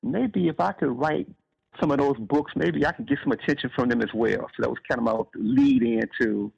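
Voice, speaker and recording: A man's voice: fairly high pitch, very animated, moderate pace, creaky, darkish tone, breathy edge. A man from Chicago with an American accent, giving conversational answers as an interview guest. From Chicago, United States. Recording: dull, lo-fi band-limited sound.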